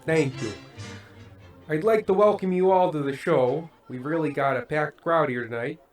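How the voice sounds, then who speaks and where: A man playing an earnest, disgruntled late-night variety host in a scripted radio play, deliberate and emphatic. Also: on the high side, faint music behind, lightly acted. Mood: welcoming showman.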